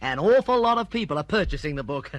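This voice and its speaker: A self-satisfied, genial literary celebrity from a radio play. The voice is fairly high for a man, lively and swooping, recorded dry and close. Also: fast, no room sound. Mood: pleased, smug. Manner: suave, self-satisfied, politely effusive. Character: smug, genial male impostor posing as celebrated author. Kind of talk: British radio drama acting.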